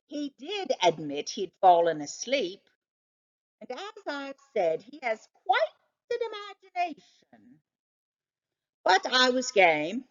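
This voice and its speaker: A woman reading a children's story aloud as a teacher would, in expressive narration. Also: mid-range voice, highs cut off.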